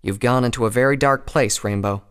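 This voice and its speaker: A man's voice: fairly bright tone, clean tone, natural voice. Man voicing a firm, blunt female farm pony. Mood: grim, warning. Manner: low-toned, firm, measured.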